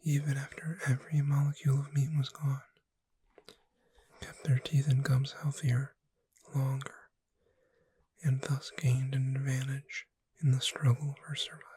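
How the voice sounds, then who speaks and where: A woman whispering in a soothing, deliberate ASMR style, reading aloud to lull a listener to sleep. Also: rumbling low, little pitch movement, dark tone, husky, no echo, rough recording. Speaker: ASMR performer.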